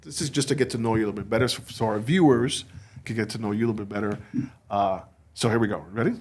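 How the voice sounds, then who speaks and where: A man's voice: fairly low pitch, steady tone, racing, a little mellow. A man hosting a podcast, chatting casually with a playful, teasing manner and easy laughter. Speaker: podcast host. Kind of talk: casual interview chat. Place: podcast studio.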